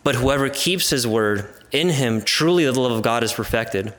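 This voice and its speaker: A man's voice: medium pitch, expressive, moderate pace, a bit bright. A man in his twenties with an American accent, a native Spanish speaker, reading a personal testimony in an earnest, formal way. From California and Arizona, United States. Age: 20s. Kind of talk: scripted personal testimony, read aloud.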